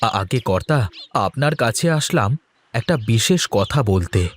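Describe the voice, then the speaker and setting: Humble village man for a cartoon male actor: respectful, earnest, slow, expressive pitch. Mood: earnest, polite. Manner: deferential. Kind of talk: Bengali folk-tale cartoon voice acting.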